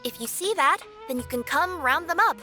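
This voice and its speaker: An earnest cat-girl courier for an anime game. The voice is very high and bright, and the delivery is emphatic, dutiful and quick to fluster. Soft music plays behind it. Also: expressive. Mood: earnest, businesslike. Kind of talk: English anime game dub.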